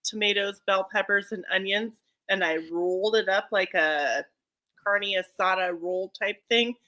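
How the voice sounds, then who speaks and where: Bright chatty woman co-hosting a stream. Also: medium pitch, measured pace. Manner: chatty, laughs often. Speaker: livestream co-host. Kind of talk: casual chat.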